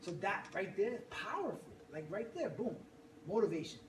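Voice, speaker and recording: A man's voice: sits high, moderate pace. A man coaching a fitness group, talking with energy and hyping people up. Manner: energetic, hypes people up, laughs often. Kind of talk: motivational group talk. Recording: roomy, from far off, noisy.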